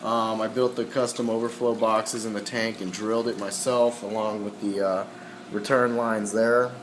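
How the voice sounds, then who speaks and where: A man walking through his aquarium equipment in a casual, halting way, with many ums and frequent throat clearing, his voice slightly hoarse. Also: fairly low pitch, level tone, roomy sound, noisy background. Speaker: aquarium hobbyist.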